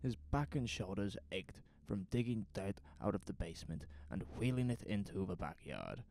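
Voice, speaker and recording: Male narrator, horror audio drama, gravelly, expressive range, ambient effects, faint noise. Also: deep voice, moderate pace. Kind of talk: scripted horror story narration. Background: ambient sound effects.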